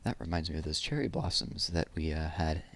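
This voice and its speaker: Low-voiced man reading to children. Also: gravelly. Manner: measured, expressive verse reading, explains things simply to young listeners. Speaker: read-aloud host. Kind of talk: reading children's poems aloud with casual asides.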